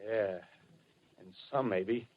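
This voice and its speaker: An actor playing an embittered, scheming militia survivor with feverish plans, ranting in slangy speech in a 1930s radio drama. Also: male voice, lowish voice, slow. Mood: scheming, intense. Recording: muffled vintage 1930s radio broadcast.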